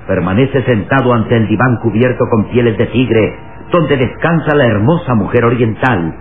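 An actor narrating a vintage adventure radio serial in a solemn, suspenseful, emphatic manner. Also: male voice, medium pitch, a little hiss or hum, close to an everyday voice. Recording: tinny old radio recording.

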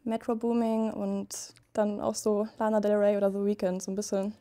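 A woman's voice: mid-range voice, little pitch movement, normal speed, warm tone. An eighteen-year-old woman chatting hesitantly about herself. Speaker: contestant. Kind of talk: casual chat.

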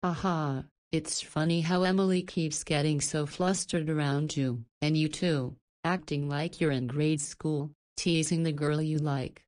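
A woman's voice: sits low, steady tone, unhurried, hardly any pauses, darkish tone. A woman voicing a motherly, teasing halfway-house boss in a visual novel, chatty and playfully scolding.

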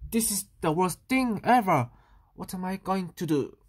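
A woman's voice: on the low side, expressive, moderate pace, breathy edge. A woman voicing a fussy, dramatic little boy from a storybook, exclamatory and easily exasperated. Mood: despairing. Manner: exclamatory, indignant.

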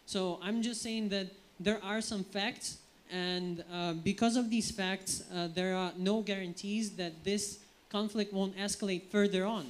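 A man from Ukraine speaking in a debate from the audience, hesitant, with many pauses and fillers. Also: high voice, fairly even intonation. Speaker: audience member. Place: theatre audience. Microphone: handheld mic.

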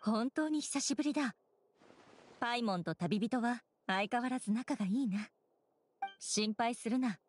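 An actress playing a composed young female knight commander in an anime game, formal and gently authoritative. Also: fairly high pitch, moderate pace, pauses often, faint music behind, natural voice. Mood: welcoming. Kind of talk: Japanese anime game acting.

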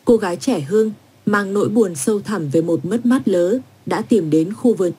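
A woman's voice: medium pitch, normal speed, mellow, husky. A woman reading scripted story narration as a narrator.

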